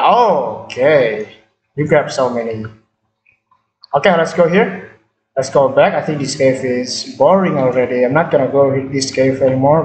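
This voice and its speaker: A man chats loosely while he plays, restarting phrases and repeating himself as he thinks aloud. His voice sits a bit high, and the room around him gives it a noticeable echo. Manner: rambling, filler-heavy, repeats words. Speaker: gaming channel host. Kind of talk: casual gameplay chat.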